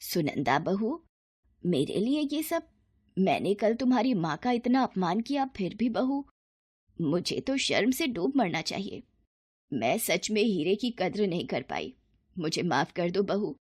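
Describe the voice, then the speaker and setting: A woman's voice: medium pitch, very animated, a little slow, a little mellow, husky, dry recording, clean studio sound. A woman voicing a middle-aged mother-in-law in a children's moral story, haughty and sarcastic in manner. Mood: weak, remorseful. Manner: sarcastic, scolding.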